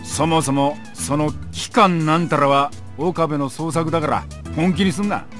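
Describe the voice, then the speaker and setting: A man playing a short-tempered landlord and shop manager in a visual novel, with brusque, scolding, rough speech. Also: fairly high pitch, quick pace, a bit bright, soft background music, lightly acted. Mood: gruff, dismissive. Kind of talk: Japanese visual novel voice acting.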